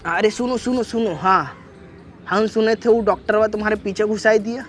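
High-pitched cheeky Bhojpuri-accented young man. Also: normal speed. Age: young adult. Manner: rustic, teasing, cheeky. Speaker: prankster. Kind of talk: staged loud phone chat for a street prank. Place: outdoors in a leafy park. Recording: outdoor recording with music underneath. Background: music.

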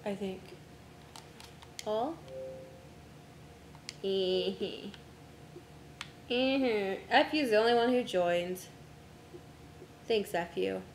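A young woman streamer chatting casually with friends while setting up a game, her voice going hoarse from a long day of talking. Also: medium pitch, extremely slow, pauses often, reverberant, a little hiss or hum. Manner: distracted, talks to herself while navigating menus.

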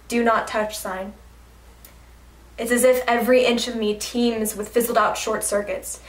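A woman reciting slam poetry in a dramatic, deliberate, rhythmic delivery. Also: fairly high pitch. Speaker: slam poet. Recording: echoey room, distant mic.

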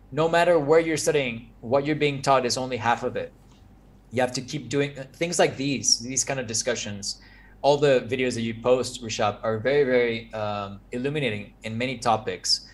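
A man in his thirties from Mexico, Spanish his first tongue, talking over a video call. He gives earnest advice in an ordinary mid-range voice and laughs easily. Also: mid-range voice. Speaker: guest architect. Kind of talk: casual video-call chat. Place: home office with framed pictures.

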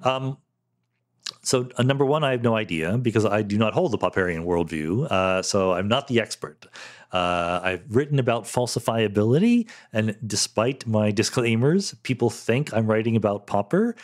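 Low-voiced man with lively intonation. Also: normal speed. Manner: candid, explanatory, frequent "um" and "you know" fillers. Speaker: podcast host answering listener questions. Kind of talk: solo podcast Q&A, thinking aloud.

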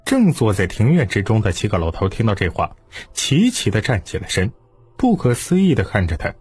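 A man's voice: lowish voice, huge pitch swings, a little fast, darkish tone, husky, no echo. A man narrating historical fiction in a dramatic scripted audiobook style. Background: music.

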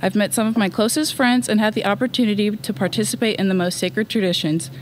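Quiet teen girl, reserved student speaker, podium mic, faint ambience. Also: medium pitch, fairly even intonation, fast talker, slight background noise, low-quality recording. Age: late teens. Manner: soft-spoken, reserved. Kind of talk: read graduation speech. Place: empty school auditorium, at a podium.

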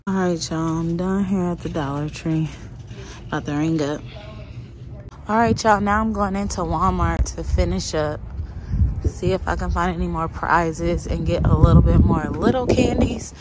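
A woman's voice: fairly low pitch, normal speed, noisy background. A woman in her thirties vlogging a shopping trip, talking half to herself as she browses a big store on a handheld phone. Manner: muttering to herself while browsing. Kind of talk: casual shop-along commentary. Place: indoors in a large store. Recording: loud store ambience and crinkling packages.

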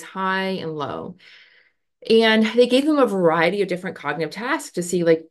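Woman, thirties, lowish pitch, chatty educator style with fillers, recorded on a video call. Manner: conversational, many fillers, gestures with her hands. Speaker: educator explaining research. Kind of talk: casual explanatory lecture. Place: home office with bookshelf. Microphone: webcam on a video call.

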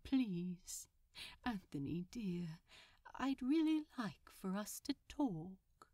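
Woman as a prim, slow-spoken male supervillain. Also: medium pitch, big pitch swings, warm tone, no room sound, clean studio sound. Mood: gentle, coaxing. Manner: prim, polite, formal diction. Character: genteel, fond, bashful male supervillain. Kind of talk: fan fiction audiobook character voice.

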